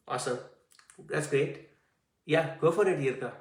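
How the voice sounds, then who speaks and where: A man's voice: fairly high pitch, moderate pace, reverberant, distant mic. A man teaching a language class online, talking conversationally with many fillers. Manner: conversational, frequent fillers and "I see". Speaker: Hindi teacher.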